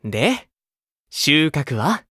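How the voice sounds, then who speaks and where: Kind playful older idol dude in a game, slow n gentle, coaxing, dry close sound. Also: male voice, fairly high pitch, very animated, husky, no room sound, heavily acted. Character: gentle, playful grown man, caring older idol teammate. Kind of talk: Japanese mobile game voice acting.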